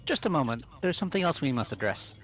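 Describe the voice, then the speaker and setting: A man's voice: a little high, very animated, quick pace. A man voicing a stern, pompous, rule-bound student in a game, formal and officious, with music behind. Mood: indignant.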